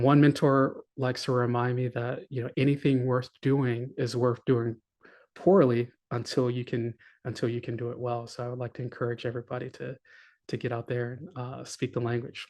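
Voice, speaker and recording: A man giving a conference talk, his voice flat and even at a middle pitch. He talks in an earnest, encouraging way. He leans on fillers and often restarts his phrases. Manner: hesitant, many fillers and restarts. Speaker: conference speaker.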